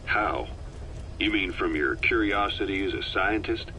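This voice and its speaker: A direct, inquisitive soldier; low voice, bright edge; faint hiss. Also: male voice, a little slow, exaggerated voice. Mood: probing. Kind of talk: game cassette tape dialogue.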